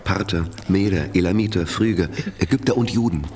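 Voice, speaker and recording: Very deep-voiced devout prisoner. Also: male voice, a little fast, a little mellow, a little hiss or hum. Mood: solemn, earnest. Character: devout, steadfast imprisoned male preacher of the 1870s. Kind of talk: historical radio drama acting.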